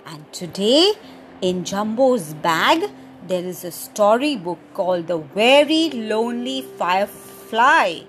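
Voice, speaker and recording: A woman hosting a children's storytime podcast, reading a picture book aloud in an animated, sing-song way and adding playful sound effects. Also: mid-range voice, takes it slowly, slight background noise.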